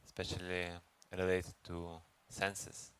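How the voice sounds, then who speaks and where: A man leads a guided meditation as a teacher, speaking gently and unhurriedly with long pauses. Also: deep voice, completely flat delivery, smooth. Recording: noisy recording with ambient background sound.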